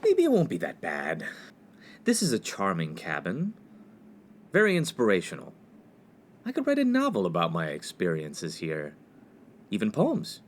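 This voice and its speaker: An earnest college freshman acted by a man in a radio comedy, forever exasperated. He is precise and sarcastic, with pitch that leaps about dramatically over faint background noise. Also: wildly swooping pitch, normal speed, pauses often. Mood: resigned. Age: young adult. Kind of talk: comedic 1950s-set radio play acting.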